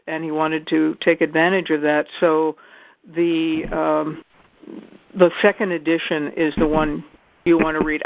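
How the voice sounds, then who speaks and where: A woman's voice: sits low, dry recording. A woman literary scholar talking as a guest. She explains in detail and sometimes corrects herself mid-sentence. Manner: knowledgeable, explaining in detail with occasional self-corrections. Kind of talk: casual discussion. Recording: tinny phone-like call audio.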